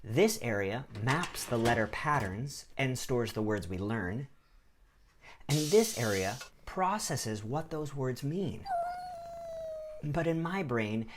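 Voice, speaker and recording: A man presenting a scripted educational video in the first person, with an expressive, explanatory delivery. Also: medium pitch, noisy background. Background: background music.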